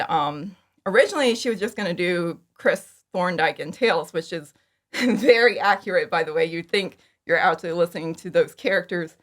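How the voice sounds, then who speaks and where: Woman, a lower-toned voice, informal storyteller as podcast interview guest. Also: a bit bright. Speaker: interview guest, fan audio drama creator. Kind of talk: casual chat, telling how a project came about.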